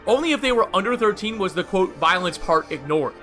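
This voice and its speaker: A man's voice: high voice, lively intonation, fairly quick, bright, forceful tone. A man narrating a scripted video essay, with casual asides, over music. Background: faint music.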